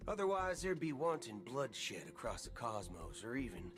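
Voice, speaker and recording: A man's voice: mid-range voice, very animated, moderate pace, close to an everyday voice. Man playing a folksy swaggering cowboy ranger, music behind. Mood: grave, dramatic. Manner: folksy drawl, theatrical, colloquial. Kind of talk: video game dialogue acting. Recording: game audio with music behind.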